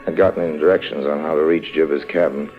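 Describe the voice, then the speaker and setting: Wry gentleman rogue, low and urbane, unhurried, muffled old radio serial sound. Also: fairly even intonation. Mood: wry narration. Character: suave, wry male con-artist adventurer. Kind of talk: old-time radio drama acting and narration. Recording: muffled vintage radio recording, faint music.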